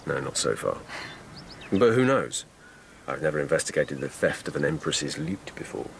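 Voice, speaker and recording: A man's voice: very deep voice, very animated, normal speed, gravelly, a little hiss or hum, heavily acted. An actor playing an earnest, probing police lieutenant in a radio drama, courteous and direct in his questioning. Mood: modest, plain.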